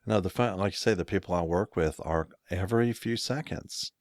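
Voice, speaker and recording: A middle-aged man from the United States with a very low, deep voice, talking in a loose, rambling way and often correcting himself mid-sentence. He sounds close to the mic, dry and free of room echo. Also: fairly quick. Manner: rambling, self-correcting storyteller with chuckles. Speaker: podcast co-host. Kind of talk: casual chat.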